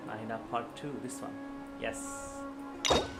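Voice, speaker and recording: A man's voice: mid-range voice, steady tone, normal speed. A man casually commentating on his own game livestream. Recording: game music behind the voice.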